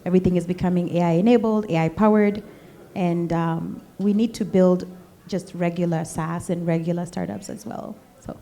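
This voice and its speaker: A woman's voice: fairly low pitch, steady tone, moderate pace, creaky, dark tone. A woman speaking on a panel, direct, with light laughs as she talks. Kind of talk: panel discussion.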